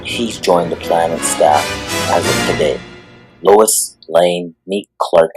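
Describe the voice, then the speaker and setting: An actor playing an unruffled newspaper boss in a superhero comic drama, blunt and matter-of-fact. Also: male voice, low voice, slow. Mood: dismissive. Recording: music behind the voice.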